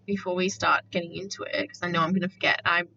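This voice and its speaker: Australian woman, podcast co-host, casual chat, bright tone, muffled lo-fi sound. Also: on the low side, little pitch movement, fast talker, gravelly. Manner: chatty, laughs often, slips into put-on accents.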